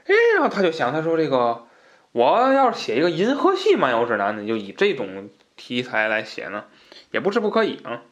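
A man with a mid-pitched voice presents as a podcast host. He explains in an informal, conversational manner, wandering into asides and repeating phrases to drive points home. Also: wildly swooping pitch, fairly quick, muffled recording. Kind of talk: informal explanatory book talk.

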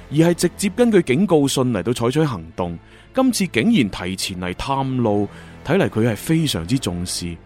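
Man acting as confident protective special agent, animated intonation, sci-fi radio drama, light music underneath. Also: mid-range voice, lightly acted. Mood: concerned, steady. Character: confident young male time-travelling special agent, protective.

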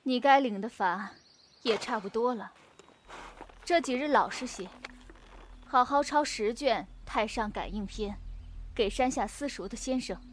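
A woman's voice: a little high, measured pace, long pauses, a little hiss or hum, close to an everyday voice. A woman playing a stern elder female disciple in a Chinese wuxia radio drama, scolding in a firm, reproving way.

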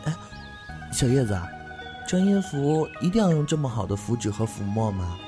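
A man's voice: mid-range voice, very animated, moderate pace, music in the background, natural voice. An actor playing a cheerful, easygoing young man from a clan who chats playfully in a fan audio drama. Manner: chatty, playful.

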